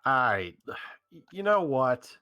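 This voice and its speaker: A man's voice: medium pitch, very animated, slow, bright, forceful tone, smooth, no echo, highs cut off. A man joking along with a reading, wry and stammering.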